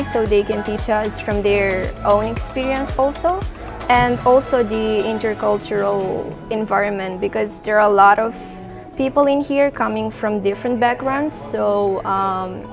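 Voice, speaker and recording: An eighteen-year-old woman from Romania, a first-year student, talks casually about her studies with occasional fillers. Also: mid-range voice. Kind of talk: student testimonial.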